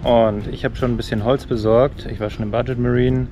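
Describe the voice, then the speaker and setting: A man's voice: mid-range voice, a little fast, muffled recording. A man in his thirties talking casually to an action camera he holds at arm's length, showing his sailboat after months away. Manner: relaxed, chatty, thinking aloud. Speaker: sailing vlogger. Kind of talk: casual vlog narration. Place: inside a sailboat cabin, later outdoors at a boatyard. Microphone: action camera held at arm's length. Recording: background music throughout.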